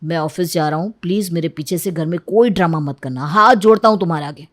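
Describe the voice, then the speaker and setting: A woman's voice: on the low side, fast talker, voice pushed down, natural voice. A woman voicing a guilty, defensive husband who speaks curtly. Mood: irritated. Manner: curt, tense and defensive.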